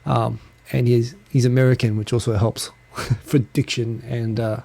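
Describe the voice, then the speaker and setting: Man, New Zealand accent, dark mellow tone, rambling self-deprecating chat, close handheld mic. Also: medium pitch, flat delivery, creaky. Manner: rambling, self-deprecating, talks fast with restarts. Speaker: livestream host. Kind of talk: casual solo live chat. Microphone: handheld USB recorder mic close. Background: computer fan hum.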